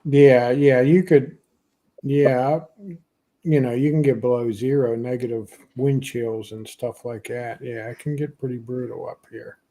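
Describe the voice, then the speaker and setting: A man's voice: mid-range voice, steady tone, unhurried. A man from Pennsylvania hosting a casual livestream chat, talking easily with lots of "you know" and laughter. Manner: chatty, warm laughs, many "you know" fillers. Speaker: podcast host.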